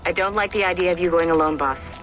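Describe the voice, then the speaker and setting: An actress playing a brash strike-team handler who gives orders in a snide, commanding way. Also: female voice, fairly low pitch, quick pace, natural voice. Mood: concerned. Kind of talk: scripted game dialogue, mission briefing. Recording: loud music behind the voice.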